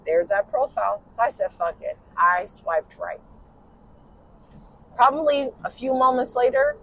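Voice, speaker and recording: A tired woman with a husky, smoky voice tells a personal story in casual chat while driving in a car at night. She rambles and uses lots of "you know" fillers. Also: a little high, expressive, unhurried, slight background noise, poor audio. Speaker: podcast host.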